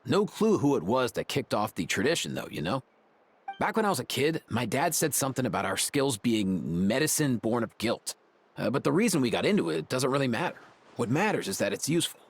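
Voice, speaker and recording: A man's voice: medium pitch, very animated, brisk, husky. A man playing a laid-back, friendly young veterinarian in a video game, talking in breezy dude slang. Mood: casual, earnest.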